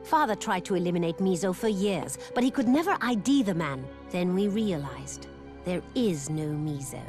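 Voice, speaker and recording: A confident young crime heiress acted by a woman, commanding and cool, with a lively, mid-pitched voice. It is video game acting over clearly audible music. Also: normal speed, close to an everyday voice. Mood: calm, assured.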